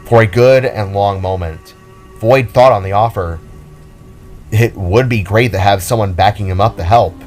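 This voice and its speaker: A man reading a scripted story aloud as a narrator, in a solemn, dramatic way with pauses. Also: fairly low pitch, darkish tone, faint music behind. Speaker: narrator and reader of own audio drama.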